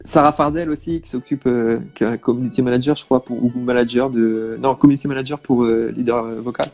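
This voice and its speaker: A man's voice: medium pitch, fairly even intonation, fast talker, darkish tone, soft background music. A man hosting a casual live stream, greeting viewers by name and asking his guest questions.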